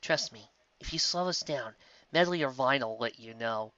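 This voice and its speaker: A reader voicing an eager, bouncy young female pony adventurer who talks in an excitable, chatty way. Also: mid-range voice, normal speed, no room sound, lo-fi sound. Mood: friendly.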